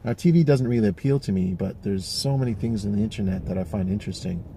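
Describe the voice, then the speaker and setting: A man's voice: fairly low pitch, fast, warm tone, slight background noise. A man in his forties talking casually and reflectively to camera, rambling with many fillers and restarts. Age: 40s. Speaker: vlogger.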